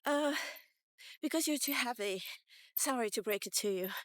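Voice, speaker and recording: A woman's voice: a little high, lively intonation, moderate pace, no room sound, studio quality, lightly acted. A woman voicing an awkward, evasive parent in a told tale, apologetic and blunt with hesitations.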